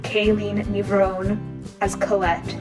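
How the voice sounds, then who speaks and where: A woman playing an impersonal announcer, reading scripted lines in a measured, deadpan way. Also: medium pitch, over music, close to an everyday voice. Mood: neutral credits reading. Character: flat, impersonal answering-machine announcer.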